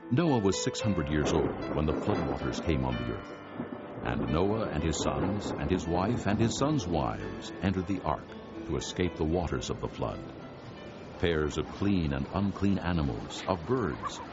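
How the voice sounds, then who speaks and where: A man narrating dramatized scripture as a solemn, measured storyteller. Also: rumbling low. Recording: dull sound with music underneath. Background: music.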